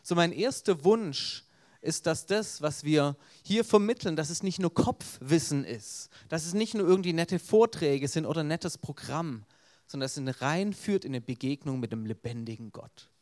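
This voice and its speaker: A man's voice: a little high, lively intonation, moderate pace, darkish tone. A man with a Swabian accent, an evangelist giving an earnest evangelistic sermon with storytelling.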